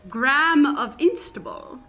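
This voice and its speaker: A woman plays a vain queenly mother in a comedic radio play, high and bright. She gushes and repeats herself with big swoops in her voice, haughty about how she is addressed. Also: expressive, a little slow, heavily acted. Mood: airy, self-pleased. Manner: gushing, affirming, repeats herself. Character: vain regal mother who insists on her first name.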